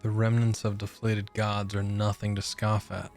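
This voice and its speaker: A man's voice: fairly low pitch, monotone, normal speed, a little mellow, soft background music. A man giving casual, slangy gameplay commentary in an informal, rambling, enthusiastic manner. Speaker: gaming content creator.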